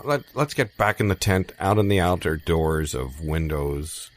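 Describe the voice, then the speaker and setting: A man doing absurd improvised sketch comedy in a deadpan, mock-serious manner. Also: low voice, a little slow. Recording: faint music behind the voice.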